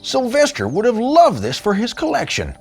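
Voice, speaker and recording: Man as a tender, lively donkey father. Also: extremely high pitch, moderate pace, creaky, soft background music, voice pushed up, exaggerated voice. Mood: wondering. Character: sad, loving donkey father trying to be cheerful. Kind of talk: storybook character voice.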